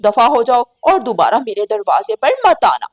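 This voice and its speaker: A woman's voice: on the high side, very animated, moderate pace. A woman voicing a heartless, angry female cartoon bird who scolds harshly and with contempt. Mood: angry, scornful.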